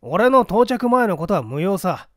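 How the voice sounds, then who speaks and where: A man's voice: high-pitched, very animated, measured pace, fairly bright tone, no echo, clean studio sound, full character voice. A man voicing a blunt, hot-headed newspaper reporter giving his account curtly in a mystery reading. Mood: indignant. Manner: curt, defensive. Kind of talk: dramatic storybook reading of a mystery.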